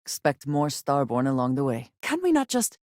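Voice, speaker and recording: An actress playing a guarded, solemn woman spacefarer in a video game, speaking in measured, formal phrases. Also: a bass voice, wildly swooping pitch, a little mellow, no echo, studio quality, heavily acted. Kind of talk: video game character dialogue.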